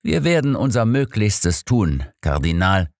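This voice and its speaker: A man's voice: on the low side, very animated, unhurried, darkish tone, no room sound, studio quality, pitched above the performer's own voice. A man voicing an old master detective in an audiobook, composed, inquisitive and matter-of-fact. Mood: brisk, courteous.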